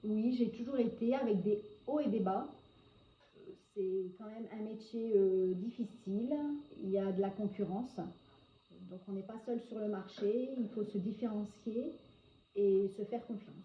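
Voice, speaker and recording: A woman's voice: mid-range voice, steady tone. Hesitant woman in her forties, echoey room. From northern France. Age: 40s. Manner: hesitant, frequent filler pauses. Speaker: hairdresser interviewee. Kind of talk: answering interview questions. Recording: echoey, dull lo-fi sound with faint noise.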